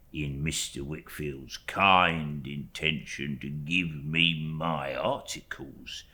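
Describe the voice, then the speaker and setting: A man voicing an oily, falsely humble young law clerk in an audiobook, with slow, obsequious phrases that sink into a hush. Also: extremely low pitch, gravelly, pitched below the performer's own voice, exaggerated voice. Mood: fawning. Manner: slow, obsequious, drawn-out, hushed phrase endings. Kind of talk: audiobook character acting.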